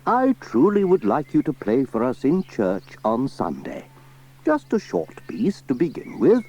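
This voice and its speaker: Kindly gushing vicar, acted by a male reader, lilting high and swooping, pious storybook character on hissy cassette. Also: wildly swooping pitch, measured pace, mellow, husky, full character voice. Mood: gushing, encouraging. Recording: muffled, hissy cassette transfer.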